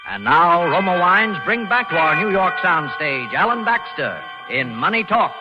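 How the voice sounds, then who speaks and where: A man's voice: high voice, sing-song, moderate pace. A man announcing a radio thriller in a dramatic, scripted 1940s style. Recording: dull vintage radio recording under loud music.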